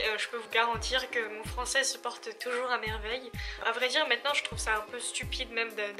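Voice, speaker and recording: An eighteen-year-old woman from Belgium, an exchange student talking casually to camera, hesitant with many fillers and restarts. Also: a little high. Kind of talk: casual vlog talk to camera. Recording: background music under voice.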